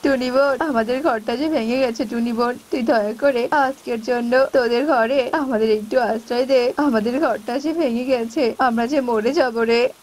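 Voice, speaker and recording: A woman's voice: a little high, moderate pace, hardly any pauses, a little mellow, lightly acted. Woman voicing a bullying male cartoon bird, faint hiss. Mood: pleading. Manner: scolding, wheedling when in need. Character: scheming, bullying male bird neighbour, selfish and deceitful. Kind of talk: animated folk tale voice acting.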